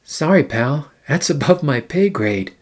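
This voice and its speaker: Man playing a genial army captain, lively delivery. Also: fairly high pitch, unhurried. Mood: matter-of-fact. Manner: familiar, matter-of-fact. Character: genial male army captain, an old buddy of the detective. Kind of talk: noir radio play acting.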